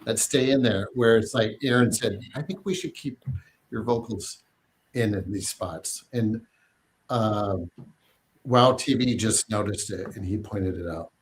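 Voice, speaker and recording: A man's voice: on the low side, a little slow. A man hosting a casual listening stream about his album, chatty and self-deprecating, laughing often. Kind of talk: casual chat.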